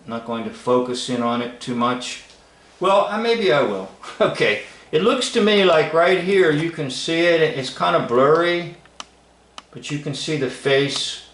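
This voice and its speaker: A man giving casual, unscripted commentary in a halting, musing manner. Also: on the high side, expressive, fairly bright tone. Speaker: video commentator. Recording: echoey room.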